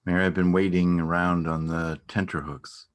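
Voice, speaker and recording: A man's voice: low voice, little pitch movement, moderate pace, clean tone, natural voice. A man playing an anxious, caring partner of an ill woman in a dramatic stage reading, earnest and questioning. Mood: anxious, insistent.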